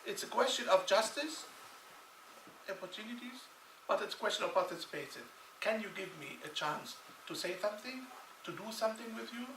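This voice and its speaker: A man's voice: high voice, expressive, a little fast. A man from Lebanon giving a conference talk in non-native English, emphatic and repeating phrases for stress. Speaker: conference speaker. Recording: echoey hall, distant mic, noisy.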